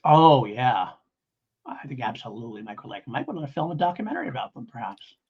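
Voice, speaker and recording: Male podcast co-host, very lively intonation, slightly husky, rambling and keen, on a somewhat dull lo-fi recording. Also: mid-range voice, quick pace. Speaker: podcast co-host reviewing audio dramas. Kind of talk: casual review chat.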